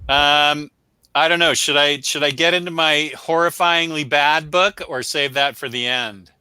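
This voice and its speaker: A man with a very bright, forceful voice pitched a little high, co-hosting a casual review show. He talks bluntly and emphatically, full of opinion, in unscripted chat. The sound is dry and close. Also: steady tone, measured pace, clear, resonant tone, no room sound. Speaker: co-host of a comic book review show.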